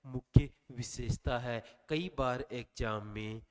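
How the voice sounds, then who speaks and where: Guy teaching exam revision, bit of a sore throat, middle-pitched voice, keeps asking then answering his own questions. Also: steady tone, takes it slowly, clear, resonant tone. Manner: quizzing listeners with repeated questions and answers. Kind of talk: online revision lecture.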